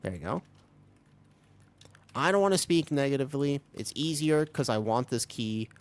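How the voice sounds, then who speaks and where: Man, raspy voice, live gameplay commentary, chatty, explains tactics, keyboard typing. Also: medium pitch, expressive, moderate pace. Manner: chatty, explains tactics while playing, many fillers. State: recovering from being sick, raspy voice. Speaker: streamer playing a tank.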